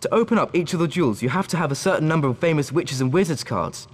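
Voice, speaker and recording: A cheeky deal-making schoolboy from a video game. The fairly high voice moves about in lively swings and echoes with long reverb. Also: male voice, fast talker. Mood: keen.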